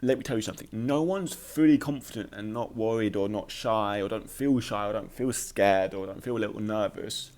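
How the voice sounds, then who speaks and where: A man with a low-pitched voice talks informally and motivationally, as on a podcast. His speech has a slight occasional stutter, with repeated words and a halting flow, and he leans firmly on his key points. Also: darkish tone. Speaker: podcast host.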